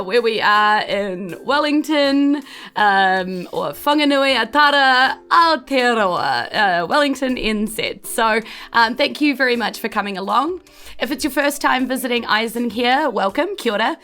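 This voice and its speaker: A woman's voice: a little high, pushed and bright. A woman with a New Zealand accent, chatting in a bubbly, welcoming way as podcast host and dungeon master. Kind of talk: chatty podcast hosting of a tabletop game.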